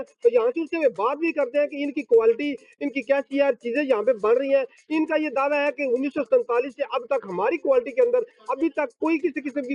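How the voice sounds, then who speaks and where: A man reporting from outside a sweets factory, halting with fillers as he interviews. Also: extremely high pitch. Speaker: field reporter. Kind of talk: news field report and interview. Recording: dull lo-fi field recording.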